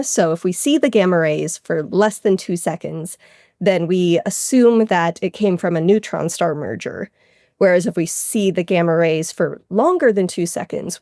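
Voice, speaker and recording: A woman's voice: mid-range voice, a little mellow, no echo, studio quality. A woman scientist explaining her research informally and with enthusiasm in a conversational interview. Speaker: guest scientist, astrophysics researcher.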